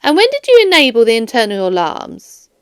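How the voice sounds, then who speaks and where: A woman's voice: fairly high pitch, wildly swooping pitch, clean tone, dry recording, studio quality. A woman voicing a firm, formal police detective questioning a suspect in a children's audiobook. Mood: probing. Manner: formal, measured, stern. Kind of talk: children's audiobook character dialogue.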